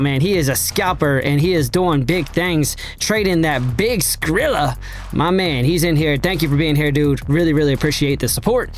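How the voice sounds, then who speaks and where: A man hosting a casual crypto trading livestream, slangy and hyped, his voice raspy and hoarse from a cold. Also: a little high. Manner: slangy, hyped, addresses chat directly. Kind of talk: casual livestream market talk.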